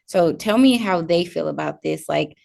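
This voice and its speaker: A woman interviewing a guest in a friendly, casual way, her phrases trailing off softly. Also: lowish voice, lively intonation, normal speed, vocal fry.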